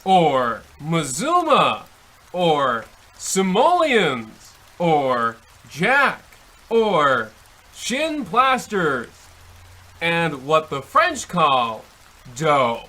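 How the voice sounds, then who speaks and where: Devilish salesman, radio comedy, theatrical, oily, slow delivery, bright forceful tone. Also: fairly high pitch, wildly swooping pitch, full character voice. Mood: gleeful menace. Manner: theatrical, oily, over-polite. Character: sly devil posing as a traveling salesman. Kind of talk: comic old-time radio play acting.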